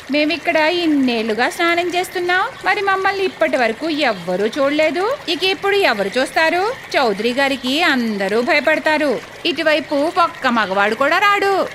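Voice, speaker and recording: A woman's voice: high-pitched, lively intonation, normal speed, background noise. A woman voicing a reassuring elder sister-in-law in a cartoon story who brushes off worries.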